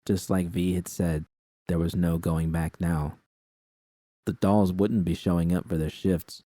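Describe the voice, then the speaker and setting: A man's voice: deep voice, flat delivery, measured pace, warm tone, no room sound, studio quality. A man reading a first-person story aloud as scripted narration. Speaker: narrator reading fan fiction.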